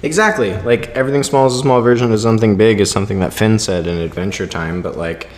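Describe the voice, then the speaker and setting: Male podcast co-host, lowish voice, loose jokey rambling, audible hall reverb. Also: fast talker. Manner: informal, swears, jokey. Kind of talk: casual chat, rambling.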